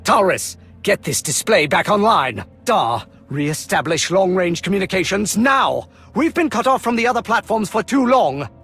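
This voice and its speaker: An actor playing a stern, impatient military colonel in a video game, clipped and commanding. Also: male voice, high-pitched, sing-song, moderate pace, few pauses, fairly bright tone, soft background music, heavily acted. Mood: angry, impatient.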